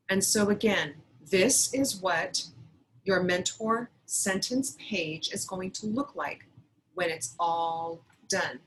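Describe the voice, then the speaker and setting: Woman, teacher, unhurried pace, medium pitch, deliberate instructional read-aloud, faint background noise. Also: a bit bright, rough recording. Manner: deliberate, addressing pupils directly.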